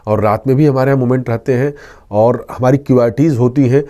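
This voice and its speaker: A man with a mellow, relaxed tone speaks as a police official in an interview. He explains precautions earnestly and methodically, circling back to his main points, at a middling pitch. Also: brisk. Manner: earnest, instructive, repeats key points. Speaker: railway police inspector being interviewed. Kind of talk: explanatory interview answers with safety advice.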